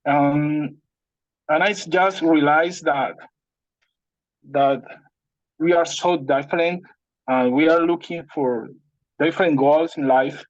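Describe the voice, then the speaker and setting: A man with a Spanish accent, whose first language is Spanish, talks very slowly and haltingly, with many restarts as he searches for English words. His voice sits a little high and comes through a dull, muffled, dry call connection. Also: fairly even intonation, no echo. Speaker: participant sharing a personal problem.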